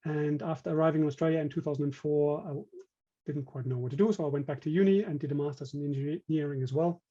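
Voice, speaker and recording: A man's voice: a little high, fairly even intonation, fast talker, mellow, muffled recording. A man with a German accent giving a technical webinar presentation, speaking hesitantly with many fillers and restarts. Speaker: company director presenting.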